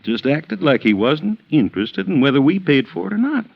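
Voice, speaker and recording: A man's voice: a little high, big pitch swings, normal speed, creaky, no echo, full character voice. A folksy southern insurance man in his 50s, drawly and gabby, old radio show, muffled lo-fi sound. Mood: riled, puzzled. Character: stocky folksy insurance agent in his late fifties, chatty, riled. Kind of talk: 1950s radio drama acting. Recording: dull vintage radio recording.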